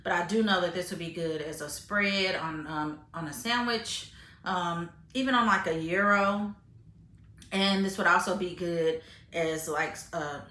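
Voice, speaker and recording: A woman's voice: on the low side, pushed and bright. Chatty woman in an echoey room. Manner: chatty, frequent fillers and restarts; eats while talking, tasting food on camera. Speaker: vlogger reviewing grocery finds. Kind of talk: casual chat to camera.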